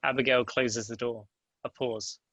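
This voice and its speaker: A man's voice: mid-range voice, steady tone, unhurried, bright, forceful tone, no room sound. A man reading stage directions as scripted narration at a table read.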